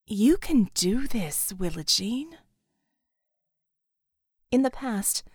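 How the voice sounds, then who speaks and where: A woman's voice: high-pitched, dry recording, clean studio sound. A woman reading dramatic action fiction as a scripted audiobook narration.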